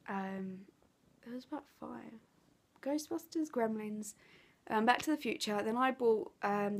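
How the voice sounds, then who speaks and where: A woman's voice: a little high, gravelly. Chatty stuffy-nosed woman with Essex accent. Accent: Essex English. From England. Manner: rambling, chatty, lots of "um"s and self-corrections. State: blocked, stuffy nose. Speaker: craft vlogger. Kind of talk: casual chat to camera.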